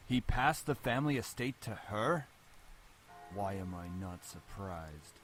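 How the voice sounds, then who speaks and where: A man's voice: lowish voice, animated, measured pace, fairly bright tone, soft background music. An actor playing a cold, disdainful businessman father in a visual novel game. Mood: resentful. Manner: stern, disdainful. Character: cold, bitter businessman father of a teenage girl.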